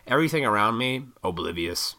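A man in his thirties rants off the cuff, swearing and wandering between points. His voice sits low but comes out hard and pushed, with a froggy throat he keeps clearing. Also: lively intonation, fairly quick, sharp tone. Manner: profane, opinionated, rambling. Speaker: vlogger. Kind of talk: rambling casual rant to camera.

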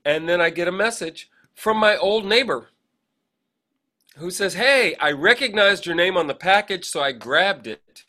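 Male co-host, fairly high voice with a bright, sharp edge, chatty storyteller who acts out quotes. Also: lively intonation, measured pace. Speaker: podcast co-host. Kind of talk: casual anecdotal chat.

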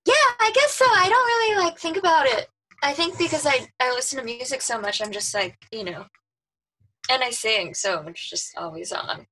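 A woman's voice: animated, moderate pace, sharp tone, rough recording. A woman who sings soprano, chatting casually as a guest, laughing often and trailing off mid-sentence. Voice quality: high soprano voice. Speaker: guest, pop singer. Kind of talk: casual chat.

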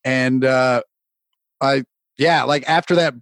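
A man who is a comedian guest on a podcast, telling stories in a relaxed, easygoing way. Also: mid-range voice, takes it slowly, no echo. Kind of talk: casual chat.

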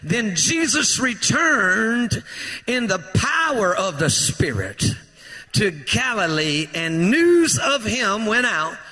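A man's voice: sits high, very animated, slow, sharp tone, faint music behind. Man, pastor, fervent sermon, strained voice, echoing church hall reverb. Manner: fervent preaching, rousing calls to the congregation. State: voice strained from shouting. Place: church sanctuary.

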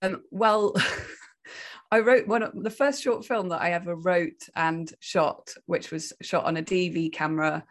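A woman's voice: fairly low pitch, steady tone, measured pace. A woman, a film director answering interview questions in a thoughtful way, with many fillers, restarts and frequent laughs. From Wales. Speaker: film director and writer, guest.

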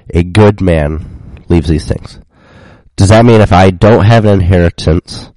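Low, stuffy-voiced man getting over a cold. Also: dry recording, low-quality recording. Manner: relaxed, conversational, with fillers. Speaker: podcast co-host. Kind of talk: casual chat.

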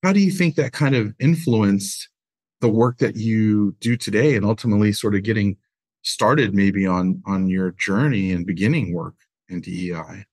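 Podcast guy asking questions, kinda husky and lowish, dry close mic. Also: normal speed, a little mellow, dry recording. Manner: friendly, curious questioning. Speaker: podcast co-host. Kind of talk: interview questions.

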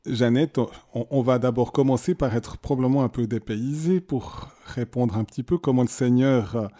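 A man interviewing a guest on a religious radio programme, asking measured questions that gently guide her. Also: mid-range voice.

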